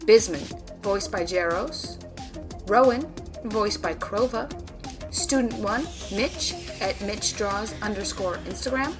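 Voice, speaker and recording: A woman's voice: medium pitch, animated, slow pace. A woman announcer reading scripted closing credits for an audio drama, with music behind her. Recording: voice over prominent music.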